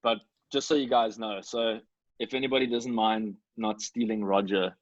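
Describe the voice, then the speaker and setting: A man's voice: lowish voice, fairly even intonation, moderate pace, no room sound. A man chatting casually in an informal, easygoing way, on a muffled recording. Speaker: cast member new to improv. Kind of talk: casual chat.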